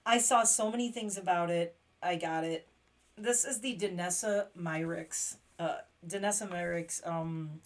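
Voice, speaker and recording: A woman hosting a casual makeup livestream, chatty and excitable, laughing often. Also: medium pitch. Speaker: beauty livestream host.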